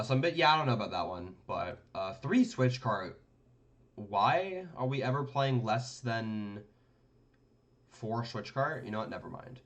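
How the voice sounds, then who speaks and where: A man's voice: on the low side, fairly bright tone, lo-fi sound. A young man from Denmark streaming a card game, thinking aloud in casual commentary with lots of fillers and restarts.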